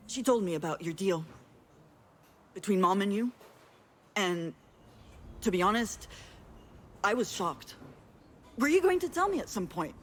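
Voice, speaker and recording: A woman's voice: medium pitch, slight background noise, lightly acted. An actress plays a tough, hot-tempered woman in a visual novel game. She is direct and sardonic, with a mid-range voice, confronting and blunt, over faint background ambience. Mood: uneasy, probing. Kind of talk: visual novel voice acting, dramatic dialogue.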